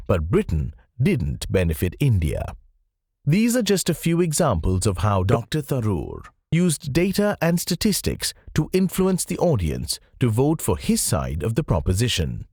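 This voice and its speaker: A man reading scripted nonfiction audiobook narration in a measured, explanatory way. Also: medium pitch, a little mellow, slightly rough, no room sound, studio quality.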